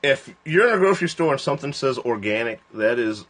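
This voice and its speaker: A man in his forties chats loosely on a phone livestream. He rambles, laughs often, and his pitch jumps high and drops low from line to line. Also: animated, fast, a bit bright. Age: 40s. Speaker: host of a livestream. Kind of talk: casual joking chat.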